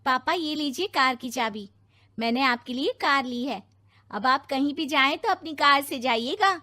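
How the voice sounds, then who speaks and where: A woman playing an outspoken, opinionated young daughter in an animated moral story, arguing emphatically. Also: high-pitched, moderate pace, dry recording, clean studio sound. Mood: proud, firm. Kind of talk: Hindi moral-story cartoon dub.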